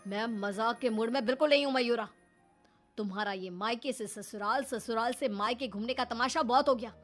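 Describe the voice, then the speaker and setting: A woman's voice: fairly high pitch, moderate pace, faint music behind, close to an everyday voice. A woman playing a fed-up young husband in a cartoon story, stern and reproachful. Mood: exasperated. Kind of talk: animated Hindi story acting.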